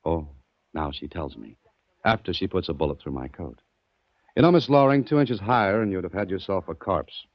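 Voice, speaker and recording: A dogged, wisecracking sleuth of 1940s radio drama. His voice sits low and his delivery is hard-boiled and brash. The recording is dull and vintage, with music behind it. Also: expressive, normal speed, dry recording. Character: wry, tough male amateur sleuth, brash and dogged. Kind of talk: 1940s radio detective acting and narration.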